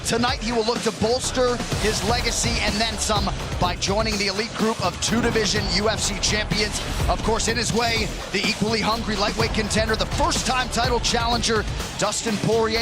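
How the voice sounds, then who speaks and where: A man doing broadcast play-by-play commentary in a smooth, measured announcer delivery. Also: sits high, fairly even intonation. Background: loud music.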